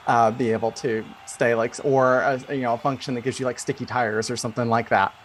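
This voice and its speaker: A man narrating an improvised tabletop game as game master, in a halting, conversational way. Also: mid-range voice, little pitch movement, a little hiss or hum, low-quality recording.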